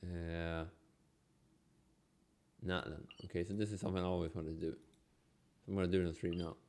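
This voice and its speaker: Low-voiced sick man mumbling through a stream. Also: level tone, moderate pace, gravelly, darkish tone. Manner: mumbly, half to himself, sing-song repeats. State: sick with a cold, coughing and sniffling. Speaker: game streamer. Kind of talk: casual gameplay stream, reading game text aloud.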